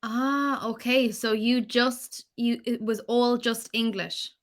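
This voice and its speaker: A woman from Ireland, leading a relaxed online English lesson. She asks patient, encouraging follow-up questions in a slightly high voice. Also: takes it slowly. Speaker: English tutor. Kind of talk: online tutoring conversation.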